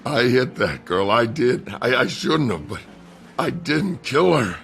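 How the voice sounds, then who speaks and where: A man playing a gruff, down-and-out woodsman, a bitter and self-loathing brute with a gravelly voice, in a dramatic video game. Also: medium pitch, sing-song, a little slow, fairly bright tone, faint music behind, heavily acted. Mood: panicked, pleading. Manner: coarse, swearing, rambling.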